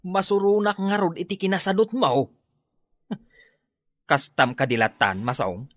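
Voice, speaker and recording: A man's voice: high-pitched, very animated, measured pace, a bit bright, no room sound, clean studio sound, exaggerated voice. A man with a Filipino Ilocano accent playing a stingy, dismissive neighbour, curt and mocking, in a radio comedy drama. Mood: mocking, irritated. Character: stingy, dismissive neighbour scolding a lazy moocher.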